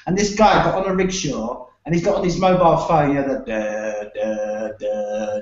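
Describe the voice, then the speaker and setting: A man hosting a casual chat, swearing freely, laughing often and telling lively anecdotes. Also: medium pitch, normal speed. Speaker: podcast host. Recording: echoey room, dull lo-fi, faint noise.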